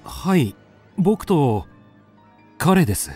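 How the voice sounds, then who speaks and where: A curious young mystery narrator in a radio drama. His voice is mellow and fairly high, moving expressively over faint music. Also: very animated, drawn-out words, breathy edge, exaggerated voice. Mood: puzzled.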